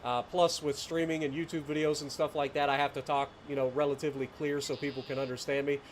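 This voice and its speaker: A man's voice: a little high, level tone, quick pace, slight background noise. A man from Ohio with a mild Appalachian accent, chatting casually with viewers while streaming a game. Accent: Appalachian (Ohio foothills), mild. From Ohio, USA. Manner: laid-back, chatty, talks to chat viewers by name. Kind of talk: casual live-stream chat while playing.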